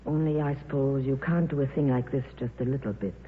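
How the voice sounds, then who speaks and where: An actress playing a worldly, soft-hearted film star in a 1950s radio drama, with a wry, breezy, clipped manner. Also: female voice, rumbling low, steady tone, a little fast. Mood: wistful. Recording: tinny, noisy old radio broadcast.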